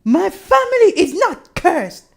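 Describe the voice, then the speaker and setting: A woman's voice: extremely high pitch, very animated, a little slow, vocal fry, pitched above the performer's own voice, heavily acted. A woman voicing a proud, stubborn, frustrated woman in a horror visual novel, speaking bluntly and emphatically. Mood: angry.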